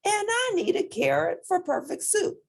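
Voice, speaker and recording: A woman voicing a small, earnest, anxious storybook mouse with a pleading, squeaky manner. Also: very animated, normal speed, gravelly, full character voice.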